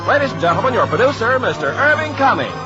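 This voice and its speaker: High-pitched grand male radio announcer. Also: very animated, fast. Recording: dull old radio recording, loud music.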